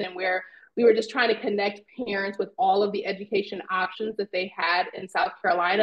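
A woman in her forties from Indiana, a psychology professor, giving a fluent, organized account of her work in an interview over a video call. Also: medium pitch. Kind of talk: interview answer. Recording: muffled video call audio.

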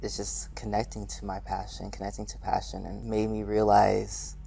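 Gravelly, flat-voiced young man, earnest. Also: fairly low pitch, normal speed, low-quality recording. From St. Louis, Missouri. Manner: earnest, passionate. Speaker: movement artist. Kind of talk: reflective interview talk.

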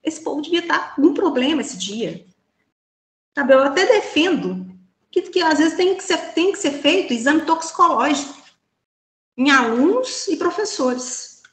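A woman's voice: high voice, very animated, moderate pace, a bit bright, reverberant. A woman in her thirties with a Minas Gerais Brazilian accent, giving indignant opinion commentary as a panelist over a video call from a small room. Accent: Brazilian Portuguese, Minas Gerais. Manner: indignant, rhetorical questions, many fillers like "então, assim". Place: small indoor room with a plain wall. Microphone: laptop webcam mic on a video call.

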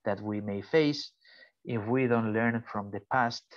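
A man with a strong Spanish accent gives an earnest lecture over a video call, now and then pausing to hunt for an English word. The sound is muffled and dry, with no room around it. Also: lowish voice, no echo. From Spain. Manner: earnest, searches for English words. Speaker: invited speaker. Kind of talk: online lecture.

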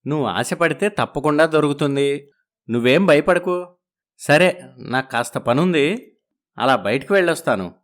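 A man's voice: a little high, lively intonation, normal speed, pauses often, close to an everyday voice. A man voicing a young husband who soothes his wife and dodges trouble in a storybook drama. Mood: evasive. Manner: soothing, evasive. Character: young husband torn between wives, evasive.

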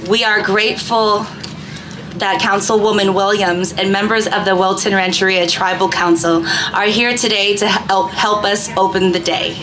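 A woman's voice: medium pitch, fairly even intonation, normal speed, a bit bright, poor audio. A woman co-hosting a public event on an outdoor festival stage, heard through a microphone and PA. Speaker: event co-host. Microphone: stage microphone through PA speakers. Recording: outdoor PA with faint noise.

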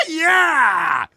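Gritty, high-strung resistance fighter. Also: male voice, vocal fry, pushed and bright, dry recording, full character voice. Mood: fired up. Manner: urgent, emphatic. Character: gritty male resistance fighter rallying troops under attack. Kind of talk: video game battle barks.

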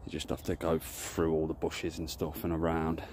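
A deep-voiced man talks in a loose, rambling way while walking a lakeside with his phone. His speech wanders and restarts, with a faint hiss of outdoor wind behind him. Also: completely flat delivery, normal speed, darkish tone. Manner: rambling, chatty, self-correcting. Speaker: carp angler vlogger. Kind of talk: casual walk-and-talk vlog. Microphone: phone camera held while walking.

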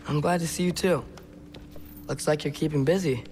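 A young man playing an earnest, gentle teenage boy in a video game cutscene, speaking in a halting, sincere way. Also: a little high, fairly even intonation, a little hiss or hum, lightly acted. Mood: gentle, warm. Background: music.

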